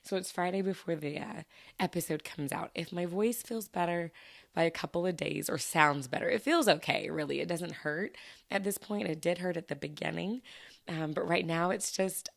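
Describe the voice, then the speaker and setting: Hoarse, giggly woman podcast host. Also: normal speed. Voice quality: low scratchy voice. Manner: chatty, giggly, self-deprecating. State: hoarse from allergies. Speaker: teacher. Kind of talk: casual solo podcast chat.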